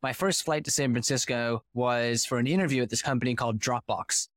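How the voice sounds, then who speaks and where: Man, 30s, founder-guest from Boston, mid-pitched, fluent storyteller, dry close sound. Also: fairly quick, no room sound. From United States (Boston). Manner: fluent, animated storyteller who poses rhetorical questions. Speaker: podcast guest, company founder. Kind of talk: casual interview storytelling.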